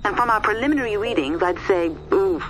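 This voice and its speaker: An actress playing a sharp, urgent military science adviser in a video game, giving precise briefings. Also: female voice, mid-range voice, brisk, slight background noise, natural voice, rough recording. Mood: grave. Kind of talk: scripted video game voice acting.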